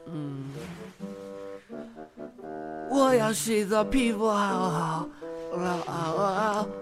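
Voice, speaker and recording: A high-pitched young man acted by a man in an audio drama, playful and stubborn by temperament. His voice sits well above most men's, with music under it. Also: drawn-out words. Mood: drunk, childish.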